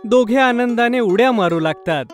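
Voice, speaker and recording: Male storyteller for kids' tales, notably high voice, scripted narration over soft music. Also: expressive, normal speed.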